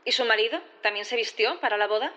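A woman's voice: on the high side, quick pace, bright, forceful tone. A woman acting as a composed, probing prosecutor in a sci-fi courtroom audio drama, formal, methodical and insistent. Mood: probing, polite. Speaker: actor in audio fiction.

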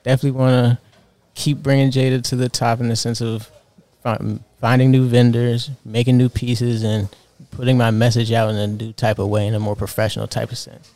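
A man in his twenties, a fashion designer, answering interview questions in a relaxed, earnest way with lots of fillers. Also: medium pitch, fairly quick, no echo. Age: 20s.

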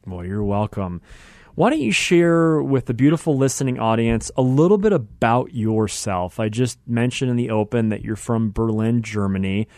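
A man's voice: medium pitch, expressive, moderate pace, a little mellow, no echo, clean studio sound. An American man hosting a radio talk show, speaking conversationally and effusively, repeating phrases for emphasis. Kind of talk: conversational show introduction and interviewing.

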